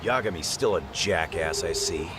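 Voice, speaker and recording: An actor playing a gruff, quick-tempered but chivalrous streetwise man in an English-dubbed crime game, speaking in blunt slang. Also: deep voice, very noisy, natural voice. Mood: casual, grumbling. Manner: blunt, slangy, swings into loud astonishment.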